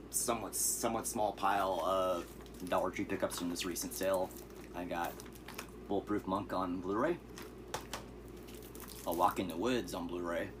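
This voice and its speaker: A hoarse man showing off his thrift-store movie finds in a relaxed, rambling way, with fillers and asides. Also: sits low, noisy background. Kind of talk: casual vlog.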